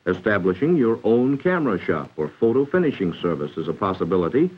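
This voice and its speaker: A dated, muffled 1940s film soundtrack carries an American man narrating a vocational training film. His voice is low and lively in its rise and fall. He reads formally and instructively, shaping each word with care. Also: fairly quick, breathy edge, soft background music.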